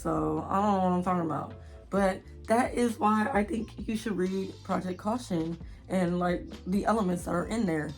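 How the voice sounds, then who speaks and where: A woman in her twenties chatting casually and excitedly, with giggles, as a book vlogger. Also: fairly low pitch. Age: 20s. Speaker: indie author and book vlogger. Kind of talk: casual chatty video blog, book promotion.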